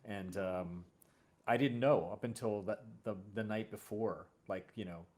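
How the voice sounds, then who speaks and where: A man hosting a casual interview, speaking in a halting way and often rephrasing mid-sentence. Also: lowish voice, darkish tone. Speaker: host.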